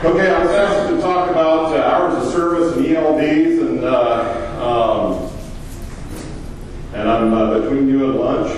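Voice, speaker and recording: Man with a big voice, plainspoken presenter with lots of fillers. Booming reverberant hall, miked from a distance. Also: fairly even intonation, a little slow, a little hiss or hum. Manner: plainspoken, loose, with many fillers. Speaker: trucking company owner giving a talk. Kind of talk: conference presentation. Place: large echoey conference room.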